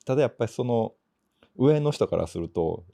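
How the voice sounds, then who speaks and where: A man in casual podcast chat, sharing reflective, measured opinions. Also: medium pitch, expressive, creaky, dark tone. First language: Japanese. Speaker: podcast co-host.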